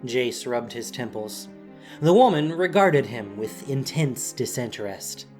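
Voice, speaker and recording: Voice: medium pitch, lively intonation, unhurried, darkish tone, soft background music. A narrator reading a scripted fantasy story in a dramatic storytelling style.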